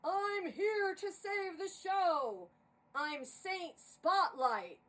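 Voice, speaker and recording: A towering heroic theatre saint performed by a woman storyteller declaims loudly and slowly, taking command. The delivery is grand and theatrical, with a high, swinging pitch. It is recorded a little off the mic with faint noise. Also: expressive, distant mic, exaggerated voice. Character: tall, grand, commanding male theatre saint, rescuing the show.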